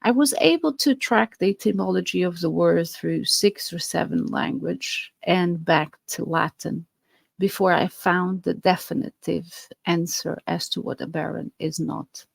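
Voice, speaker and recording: Slow, gravelly Portuguese-accented woman. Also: on the low side, no echo. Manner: earnest, explanatory, with fillers and restarts. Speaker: podcast host. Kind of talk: podcast monologue.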